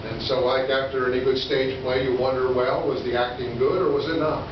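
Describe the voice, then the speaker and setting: A man in his sixties delivers a sermon from a lectern, phrasing it deliberately with pauses and rhetorical questions. His medium-pitched voice reaches a distant mic through heavy room echo. The recording is dull, with clear background noise. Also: flat delivery. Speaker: preacher. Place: church sanctuary or hall, indoors at a lectern.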